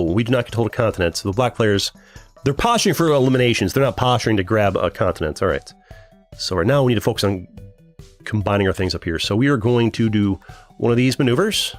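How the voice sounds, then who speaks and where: A young man with a deep voice casually commenting on his strategy game live, thinking aloud and answering chat. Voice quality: deeper voice than usual. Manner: chatty, thinks aloud, reads chat and laughs often. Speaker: streamer.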